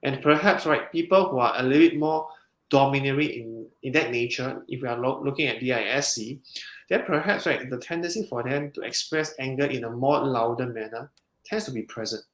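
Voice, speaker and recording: A man, a counselling psychologist, explaining in a measured way in a podcast interview, with frequent restarts. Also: medium pitch. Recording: roomy echo.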